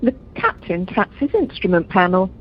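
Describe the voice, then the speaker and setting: Woman as animated narrator, muffled line. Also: mid-range voice, moderate pace, close to an everyday voice. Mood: descriptive. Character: female narrator describing the action. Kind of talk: scripted narration of amateur radio comedy. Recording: muffled conference call, faint noise.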